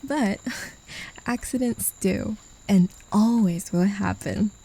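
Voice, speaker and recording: A woman voicing a possessive, mocking demon in an ASMR roleplay, speaking in a condescending, teasing way with soft whispers. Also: mid-range voice, slow pace, vocal fry, breathy edge, full character voice, poor audio. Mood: taunting. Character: mocking, possessive demon who claims a human as a pet.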